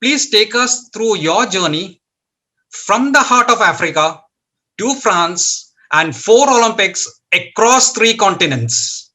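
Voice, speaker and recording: A man's voice: sits high, animated, slow, pushed and bright, low-quality recording. A man in his sixties with an Indian accent, hosting on a video call with a formal, scripted delivery. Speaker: show host and interviewer.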